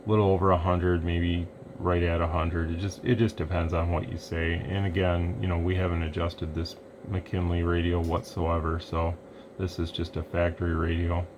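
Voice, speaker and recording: A man's voice: sits low, level tone, moderate pace, highs cut off, background noise. A man casually talking through a hands-on radio equipment demo at his workbench, rambling and thinking aloud as he goes.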